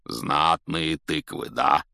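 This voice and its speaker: A man voicing a big, good-natured giant groundskeeper for a storybook, with a gruff, folksy, blunt way of talking. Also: rumbling low, takes it slowly, bright, forceful tone, no room sound, clean studio sound, pitched below the performer's own voice, exaggerated voice. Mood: cheerful. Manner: hesitant, folksy, teasing, blunt.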